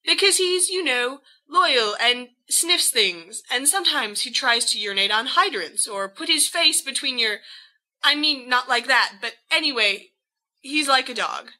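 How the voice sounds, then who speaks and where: A woman's voice: high-pitched, lively intonation, unhurried, bright, forceful tone, pitched above the performer's own voice, exaggerated voice, low-quality recording. A woman voicing an apologetic young husband in an audiobook, nervous and hesitant, prone to rambling. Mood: panicked, flustered.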